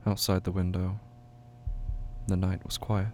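A man narrating a scripted horror story in short, measured phrases with hushed suspense. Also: flat delivery. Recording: noisy track with low rumble.

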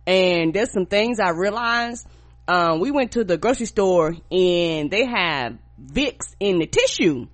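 A woman's voice: lowish voice, measured pace. Congested, profane woman co-host. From rural United States. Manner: profane, animated storytelling. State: congested from a cold. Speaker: podcast co-host. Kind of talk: casual podcast chat.

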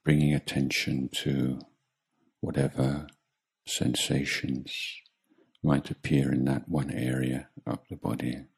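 A man leading a guided meditation as a teacher, speaking calmly in short, measured phrases with long pauses. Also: a bass voice, vocal fry, slightly rough. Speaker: Buddhist monk meditation teacher.

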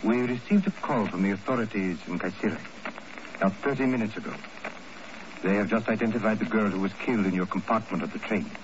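Actor as a low, formal military colonel. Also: male voice, lightly acted. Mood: coolly formal. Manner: stiff, measured, formal. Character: formal, coolly courteous Turkish military intelligence colonel. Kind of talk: 1950s radio drama acting. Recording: noisy, dull old radio recording.

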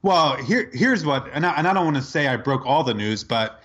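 A man co-hosting a podcast, telling a sports story in casual chat, incredulous and quick to laugh. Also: on the high side, animated, a bit bright. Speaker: podcast co-host.

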